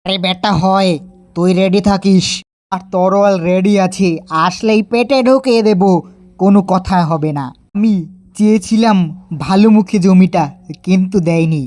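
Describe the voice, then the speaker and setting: A woman's voice: mid-range voice, measured pace, darkish tone, close to an everyday voice. A woman voicing a ruthless male land-grabbing gang boss in a cartoon story, blunt and threatening. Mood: menacing. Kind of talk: cartoon story villain dialogue.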